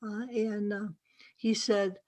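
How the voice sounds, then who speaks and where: A woman author answering questions at a virtual book event over a video call, speaking in a deliberate, emphatic way with pauses. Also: medium pitch, level tone, darkish tone.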